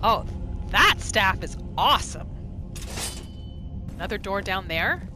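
Excitable woman gamer with very animated delivery. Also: mid-range voice, slow. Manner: excitable, exclaims and talks to game characters. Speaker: gaming video host. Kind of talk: playthrough commentary, roleplaying in third person. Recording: game music behind the voice. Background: video game music and sounds.

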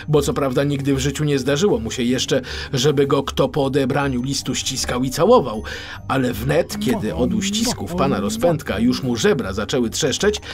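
A man reading a children's story as an expressive, theatrical audiobook narrator. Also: medium pitch, moderate pace, music in the background.

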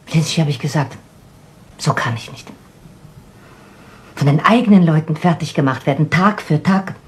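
An actress playing a weary, defensive widow who runs a garage, speaking in halting phrases in a 1970s radio crime play. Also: female voice, low-pitched, darkish tone. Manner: halting, short broken phrases. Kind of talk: 1970s German radio crime drama acting. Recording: old radio recording with background noise.

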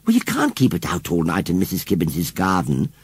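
Young English storybook girl acted by a man: prim and anxious, high for the performer, lively swoops, slightly husky. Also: brisk, heavily acted. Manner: prim, earnest, insistent. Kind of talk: children's storybook character voice.

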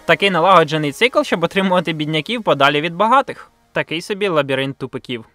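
Fast, bright-voiced sardonic man over music. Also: on the high side, animated, no room sound. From Ukraine, grew up in a village. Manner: sardonic, self-deprecating, blunt. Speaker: video essayist, reviewer. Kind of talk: scripted video review narration with slang and swearing. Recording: music under the voice. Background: background music.

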